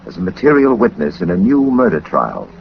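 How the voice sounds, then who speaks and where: An actor playing a suave, confident gentleman detective in a 1940s radio drama, speaking with dry, formal wit. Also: sits low, huge pitch swings, brisk, gravelly, full character voice. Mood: dry triumph. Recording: muffled, noisy 1940s radio recording.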